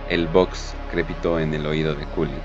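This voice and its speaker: A man with a deep voice narrates a dramatic story from a script. The recording is slightly dull and lo-fi, with music clearly playing behind him. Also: level tone, normal speed. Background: prominent background music.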